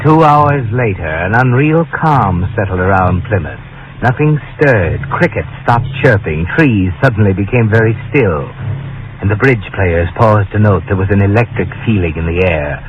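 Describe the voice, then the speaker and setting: A man's voice: on the low side, expressive, normal speed. A man narrating 1940s radio suspense in an ominous, dramatic manner. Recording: dull 1940s radio recording. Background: loud dramatic music.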